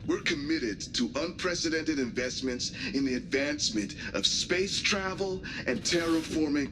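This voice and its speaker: An actor playing a confident, lofty space corporation spokesman in a video game, delivering a deliberate, grandiose scripted promo. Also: a little high, background noise.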